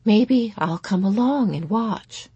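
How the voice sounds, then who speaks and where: A woman voicing an anxious, sweet, sad mother in a storybook, cooing gently and hesitantly over her daughters. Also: medium pitch, big pitch swings, extremely slow, husky, dry recording, muffled recording, voice pushed up, full character voice. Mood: hopeful, gentle.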